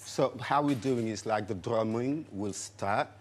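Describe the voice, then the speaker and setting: Brief: middle-aged man from Senegal, lowish voice, halting friendly explaining, faint studio hum. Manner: warm, halting, with many fillers and restarts. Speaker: dance teacher and guest. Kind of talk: studio interview.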